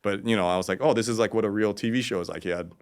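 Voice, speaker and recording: A man's voice: lowish voice, rapid-fire. A man from Los Angeles, a podcast guest, telling stories in a casual, profane, rambling way with frequent restarts. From Los Angeles, Koreatown. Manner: acts out quoted voices. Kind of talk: casual storytelling.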